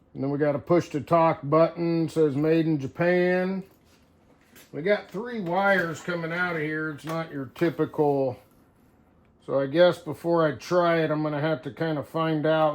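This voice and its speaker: Male video host, somewhat higher-pitched voice, informal hands-on show-and-tell, rambling and thinking out loud.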